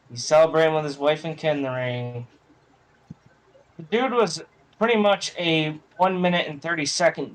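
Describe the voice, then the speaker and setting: A man's voice: fairly high pitch, low-quality recording. A man giving opinions casually on a sports podcast, blunt and emphatic in how he talks. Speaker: wrestling podcast panelist.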